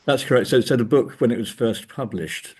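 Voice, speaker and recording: A man's voice: mid-range voice, a little fast, darkish tone, slightly rough. A man speaking as an academic guest in a discursive interview, hesitant and often repeating words as he thinks.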